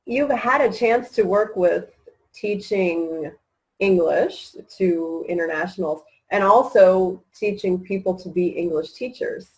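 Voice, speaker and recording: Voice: medium pitch, slow, low-quality recording. A friendly host, chatting with a guest in a conversational interview. The host asks questions easily and laughs along in the conversation.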